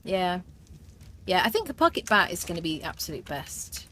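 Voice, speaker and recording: A woman's voice: lowish voice, big pitch swings, moderate pace, bright, forceful tone, slight background noise. A woman streaming a survival game, chatting as she plays, thinking aloud with fillers and answering her viewers' comments. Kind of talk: live gameplay commentary.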